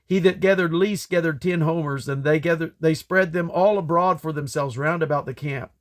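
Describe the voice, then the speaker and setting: A man reading scripture aloud in a solemn, deliberate way, breaking the text into measured phrases. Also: fairly high pitch. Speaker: Bible reader. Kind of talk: scripture reading, King James English.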